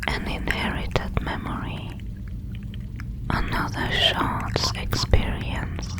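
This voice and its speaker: A woman voicing a curious, lonely alien creature in an ASMR audio drama, in a breathy whisper with solemn, deliberate phrasing. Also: natural voice, rough recording. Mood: curious, contemplative.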